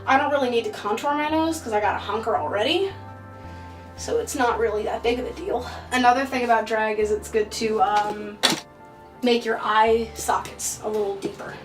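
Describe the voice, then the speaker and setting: A woman's voice: fairly high pitch, moderate pace. A young woman, a drag king artist, explains her makeup routine in a casual, offhand way, joking about herself as she goes. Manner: offhand, joking, self-deprecating. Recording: distant mic with music behind.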